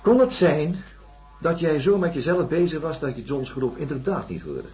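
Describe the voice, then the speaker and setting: A pushy questioning doctor in a radio play, highish voice, very up and down, stresses his words. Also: sing-song, darkish tone, soft background music. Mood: probing, insistent. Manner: deliberate, emphatic, interrogating. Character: probing, insistent male doctor questioning an astronaut. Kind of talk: radio drama acting.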